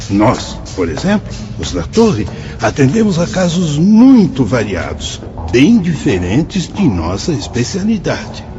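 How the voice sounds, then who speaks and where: A kindly, paternal priest speaks in a rather low voice that rises and falls with lively expression. He is heard in a radio drama over a music bed, and the audio sounds dull and lo-fi. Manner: warm, welcoming, paternal. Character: kindly priest, director of a watchtower.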